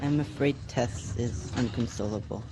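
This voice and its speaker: A woman's voice: a bass voice, moderate pace, warm tone, rough recording. A woman playing a plain-spoken, dry and slightly cheeky young colonist in a student sci-fi radio play. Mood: concerned.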